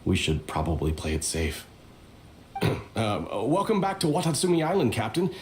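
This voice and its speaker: Animated, grumbling foot soldier. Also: male voice, mid-range voice, normal speed, a little hiss or hum. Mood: awkward, cautious. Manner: informal, exclamatory. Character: grumbling, indignant rank-and-file male soldier. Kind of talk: anime game voice acting.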